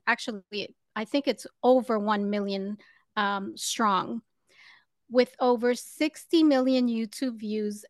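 A woman's voice: on the high side, no echo, studio quality. A woman hosting an interview show who opens with a scripted introduction, then chats with her guest in an upbeat, friendly way. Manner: warm, upbeat greeting of "loves". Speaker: podcast host.

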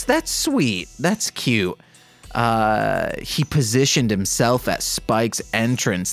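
A man with a medium-pitched voice hosts a podcast, reading fan fiction aloud at a slow pace. He keeps stepping out of the text for casual, irreverent remarks addressed to his listeners. Faint music plays underneath. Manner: irreverent, joking asides to listeners. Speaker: podcast host.

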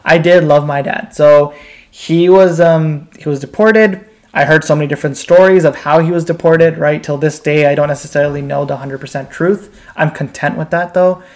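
Higher-voiced young American man. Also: steady tone, normal speed, a little mellow. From United States, Pakistan, Bangladesh and Qatar. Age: 20s. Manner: informal, uses frequent "like" and "right?" tags. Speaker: interview guest. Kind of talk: candid personal storytelling.

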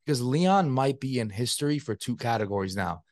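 A young man from Spain talks at a rapid clip with an emphatic, profane energy, his voice sitting in an ordinary middle register. The sound is close and dry, like a headset mic on a video call. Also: mid-range voice, animated, no echo. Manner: rapid, emphatic, swears freely. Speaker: podcast co-host. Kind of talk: casual fight-recap chat.

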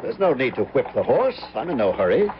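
An actor playing a grave American gentleman recounting his past in a radio drama, with an American accent and measured, literary phrasing. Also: medium pitch, very animated, background noise, lightly acted. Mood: questioning, calming. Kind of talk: old-time radio drama acting and narration. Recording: lo-fi radio recording, loud music behind.

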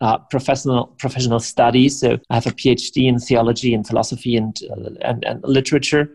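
A man's voice: mid-range voice, little pitch movement, fast talker, vocal fry, darkish tone. A man in his forties from Germany, a native German speaker talking in English with a German accent, as a guest on a podcast. He speaks reflectively and stammers repeats when starting a thought. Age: 40s. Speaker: guest, prayer house leader. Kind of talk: podcast interview.

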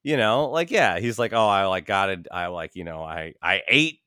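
A man's voice: low voice, lively intonation, moderate pace, pushed and bright, clean tone, dry recording, studio quality. A man in casual podcast chat as a host, loose and profane, often acting out imaginary quotes. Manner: peppers talk with acted-out imaginary quotes.

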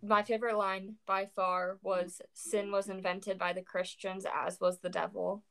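A young woman talks through poems in a loose, rambling way, full of "like" and restarts. Her voice has a gravelly creak, and she sounds worn out after a long day. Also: medium pitch, little pitch movement, unhurried. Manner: rambling, many fillers, self-corrections. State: tired. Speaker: co-host discussing poetry. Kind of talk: casual chat.

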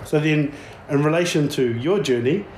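A man hosting a casual interview, relaxed and using slang. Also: fairly high pitch, normal speed, echoey room, slight background noise. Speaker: host.

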